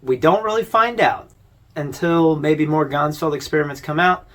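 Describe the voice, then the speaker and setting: A man's voice: on the high side, normal speed, a bit bright. A man hosting a video essay, giving informal, conversational commentary as he explains things.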